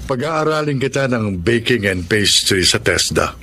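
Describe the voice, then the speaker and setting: A man's voice: on the low side, expressive, a little slow, pushed and bright, a little hiss or hum, heavily acted. A man playing a kindly old grandfather and devoted shop owner in a Filipino radio drama, earnest and fatherly.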